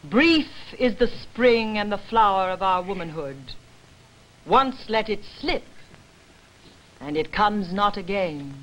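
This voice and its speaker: Slow, forlorn, declaiming wife. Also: female voice, medium pitch, animated, fairly bright tone, rough recording. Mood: bitter, rueful. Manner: declamatory, measured, rhythmic delivery. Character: forlorn, defiant wife lamenting war's cost to womanhood. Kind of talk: theatrical staged reading of classical verse.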